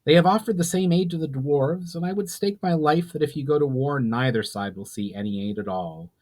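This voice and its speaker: A man's voice: a little high, brisk, a little mellow, pitched above the performer's own voice, natural voice. A man voicing a courteous, earnest elf envoy with formal wording in a fantasy story. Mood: earnest warning.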